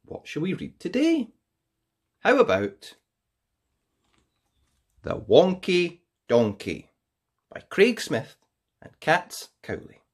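A storyteller hosts a read-aloud for children. The delivery is extremely animated, leaping up and down in pitch. Key words are stretched out with playful, theatrical emphasis. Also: takes it slowly, pauses often, husky. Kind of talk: expressive read-aloud of a children's picture book.

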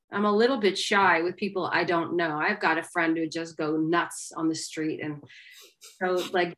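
A middle-aged woman from Maryland, a singer and yoga teacher, chatting animatedly over a video call from her home. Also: fairly low pitch. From Maryland, USA. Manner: animated storyteller, lively bursts of energy. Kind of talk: casual video-call interview. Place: home room.

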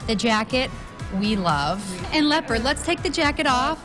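Woman, 30s, upbeat lifestyle reporter, slightly high voice, clear music bed. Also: sing-song, moderate pace. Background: background music.

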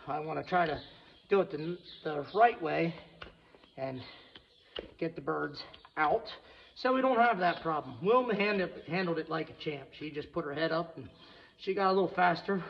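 A man's voice: a little high, normal speed, creaky. A man giving casual, folksy running commentary in short fragments as he works as a farrier in a barn. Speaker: farrier vlogger. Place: indoors in a horse barn. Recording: muffled, noisy barn with tool clicks.

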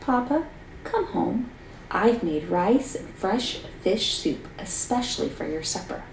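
A woman reading as a gentle, brave young girl. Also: on the low side, expressive, brisk, gravelly, slight background noise. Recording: roomy, mic at a distance.

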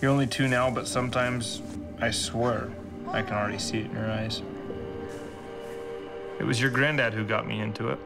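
A man's voice: mid-range voice, fairly even intonation, normal speed, vocal fry, long pauses. An American man giving an intimate, earnest, plain-spoken film narration, as a farmer speaking to his child. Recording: film voice-over under loud music. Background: loud music.

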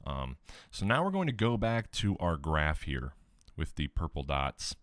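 A man with a deep, low voice walking viewers through a software tutorial step by step, relaxed, with the odd filler. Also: unhurried, no room sound, clean studio sound. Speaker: tech tutorial host. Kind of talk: screen-recorded software tutorial.

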